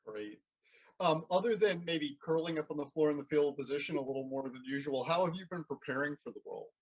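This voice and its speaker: A man hosting a casual interview, asking questions in a halting way and repeating words as he forms them. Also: on the high side, lively intonation, breathy edge, muffled recording. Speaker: interviewer, host.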